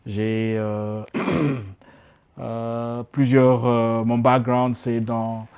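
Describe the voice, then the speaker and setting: A man's voice: medium pitch, little pitch movement, drawn-out words, darkish tone, clear, resonant tone, dull audio. Hesitant Congolese man, interview guest. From Democratic Republic of Congo. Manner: hesitant, many fillers and restarts. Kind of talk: casual interview talk.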